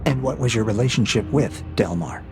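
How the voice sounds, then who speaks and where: A man voicing a cold AI interrogator in a science fiction audio drama, measured and formal, needling with calm, mocking questions. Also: mid-range voice, gravelly, music in the background. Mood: clinical, needling.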